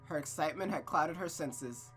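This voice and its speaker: A man's voice: on the high side, normal speed, faint music behind, poor audio. A man reading a visual novel aloud as a streamer, telling the story dramatically and breaking into casual, sweary asides. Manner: expressive, reactive, sweary, stumbles and rereads lines.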